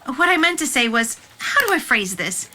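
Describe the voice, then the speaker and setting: A woman acts an overprotective, well-meaning aunt in an audio drama. Her voice is high and bright. She picks her words carefully and fusses apologetically. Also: sing-song, moderate pace, slight background noise, exaggerated voice. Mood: apologetic, flustered.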